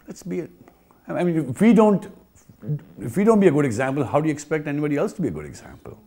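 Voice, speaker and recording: A man's voice: sits low, a little mellow, slightly rough. A man with an Indian accent giving an informal training talk, emphatic and repetitive, often checking in with rhetorical questions. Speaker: pool company trainer.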